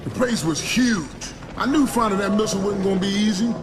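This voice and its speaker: A man as an easygoing soldier, high voice. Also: a little fast, music in the background, lightly acted. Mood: wry narrating. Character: easygoing, plain-spoken young male soldier. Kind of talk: video game narration and dialogue.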